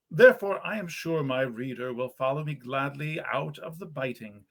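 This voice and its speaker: A man with a slightly high voice reads old-fashioned literary fiction aloud. His delivery is theatrical and genteel, the expressive manner of an actor telling a period story. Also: creaky. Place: period parlor in a historic house.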